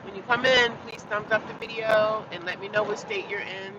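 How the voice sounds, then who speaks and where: A woman's voice: mid-range voice, steady tone, moderate pace. A congested, stuffy-nosed woman from the United States chatting casually with her viewers, repeating herself and greeting them as she starts her day. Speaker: vlogger. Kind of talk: casual live-stream chat to viewers. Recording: dull lo-fi sound from a distance with loud outdoor traffic. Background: street and car noise.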